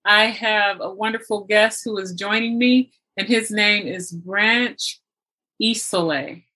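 A woman's voice: mid-range voice, slow pace, sharp tone, breathy edge. A woman hosting a show, speaking in an encouraging, enthusiastic way as she introduces and interviews. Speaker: show host. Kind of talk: scripted show intro and upbeat interview chat.

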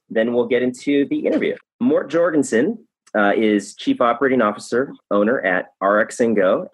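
A man's voice: medium pitch, breathy edge, no room sound, rough recording. A man hosting a podcast interview, upbeat and chatty, often repeating words as he talks. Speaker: podcast host.

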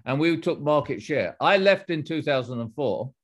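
A middle-aged man, a former executive and author, answering interview questions in a measured, explanatory way with frequent restarts. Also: fairly high pitch, expressive, lo-fi sound.

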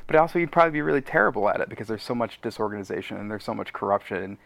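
A man's voice: lowish voice, expressive, very fast, gravelly, fairly bright tone, dry recording. A man speaking casually as an interview guest, thinking aloud and correcting himself as he goes. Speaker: podcast guest, audio drama co-creator.

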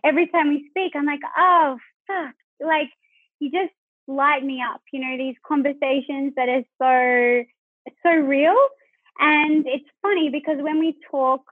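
A woman's voice: high-pitched, no room sound. A woman guest on a podcast, chatting candidly and enthusiastically over a remote call, swearing freely. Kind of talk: casual chat. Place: remote call from her home at evening. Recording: dry, phone-like call line.

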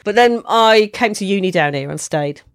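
A woman from the English West Midlands chatting casually as a comedian, telling stories in an animated, sweary way. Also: medium pitch, normal speed. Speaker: stand-up comedian being interviewed. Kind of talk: casual chat with anecdotes.

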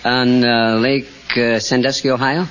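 A man's voice: medium pitch, level tone, unhurried, a bit bright, clear, resonant tone. A man as a quiz contestant, giving short, plainspoken, hesitant answers. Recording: muffled old radio recording.

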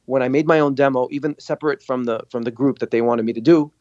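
A man from Lebanon tells his story as a podcast guest, lively and acting out what others said. His voice sounds dry and a little dull, with no room echo. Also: mid-range voice, fast. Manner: animated storyteller, acts out quotes. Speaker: guest, singer and producer. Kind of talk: casual interview storytelling.

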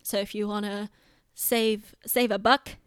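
A woman's voice: medium pitch, level tone, a little slow, clear, resonant tone, dry recording, studio quality. A British woman chatting casually on a podcast in an informal, self-deprecating way. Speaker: podcast host and theatre director.

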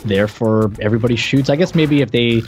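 A man co-hosting a casual game review podcast, explaining trivia in a halting, conversational way. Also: medium pitch, a little mellow, faint music behind. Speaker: podcast co-host.

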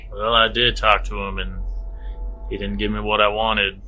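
A man's voice: fairly low pitch, flat delivery, normal speed, bright, forceful tone, poor audio. A man playing a tabletop game, improvising his character's words and actions in a matter-of-fact, understated way.

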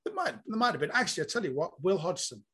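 A man's voice: high voice, huge pitch swings, fast, fairly bright tone, breathy edge. A man, a comedian being interviewed, talking in a reflective, rambling storytelling way. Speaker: comedian guest. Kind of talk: casual interview chat.

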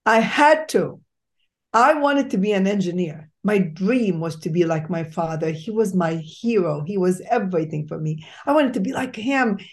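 A woman's voice: medium pitch, animated, moderate pace. A middle-aged woman from Egypt, a doctor hosting a podcast, telling her life story in an emphatic, enthusiastic way with fillers and restarts. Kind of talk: conversational storytelling interview.

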